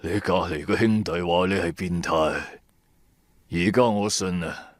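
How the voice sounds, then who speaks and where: A man's voice: sits low, slow pace, no echo, studio quality, voice pushed down. A man voicing a sly, cold old gang boss in a radio drama, sneering and condescending. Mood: grudging. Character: sly, cold old male tomb-raiding boss, threatening.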